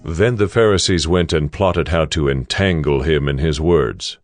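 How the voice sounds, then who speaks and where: A man narrating scripture in a deliberate, storytelling way. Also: rumbling low, soft background music.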